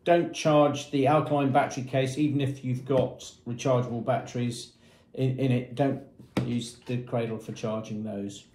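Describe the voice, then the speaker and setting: A man in his fifties giving a matter-of-fact product demonstration, explaining features with hesitant pauses. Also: mid-range voice, steady tone, a little mellow, slight background noise. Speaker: product presenter for a marine shop. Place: small indoor room with painted block walls. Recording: echoey small room.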